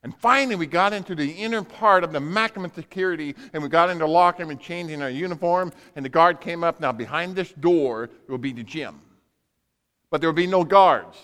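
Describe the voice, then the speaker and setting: A man's voice: on the high side, fairly quick, a bit bright. A man preaching a sermon as a dramatic storyteller, in short punchy phrases and acted-out dialogue, in a large reverberant room. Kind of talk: sermon with animated personal storytelling. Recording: reverberant hall.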